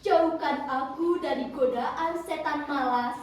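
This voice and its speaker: A woman voicing a tall, dignified male guardian prince of time, calm and gently authoritative, in a children's story. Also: high voice, slow. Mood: gentle, solemn. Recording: roomy, distant mic with background noise.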